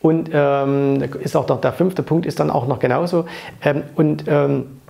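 A man's voice: on the high side, fairly even intonation, unhurried, creaky, mellow. A man in his thirties giving blunt, opinionated money advice straight to camera in an office, speaking on a lapel mic and dropping frequent fillers and tag questions. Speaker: finance coach and channel host. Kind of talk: casual to-camera advice talk. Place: office with framed pictures, indoors.

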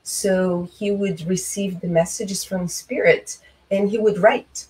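A middle-aged woman from Brazil, a guest on a video call, speaking slowly and reflectively with many pauses and fillers. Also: mid-range voice, fairly even intonation, a little mellow, poor audio. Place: small room indoors. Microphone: webcam on video call.

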